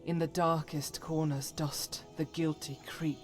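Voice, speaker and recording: Devout warrior woman, slow and solemn. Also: low voice, little pitch movement, faint music behind. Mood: stern, fervent. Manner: solemn, earnest, hesitant and halting. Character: devout, troubled warrior woman, a fantasy follower. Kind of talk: dramatic fantasy game voice acting.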